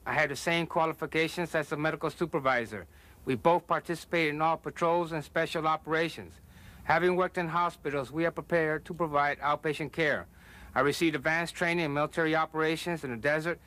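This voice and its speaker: A man's voice: fairly high pitch, sharp tone, poor audio. A man serving as an army medic, reciting a formal scripted introduction of his training and duties.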